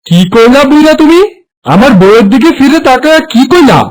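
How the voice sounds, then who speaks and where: A man's voice: extremely high pitch, sing-song, brisk, low-quality recording. A man voicing a stern, bossy, scheming village husband in a cartoon, speaking with a Bangladeshi Bengali accent. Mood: indignant. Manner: confrontational, commanding. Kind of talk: cartoon moral story voice acting.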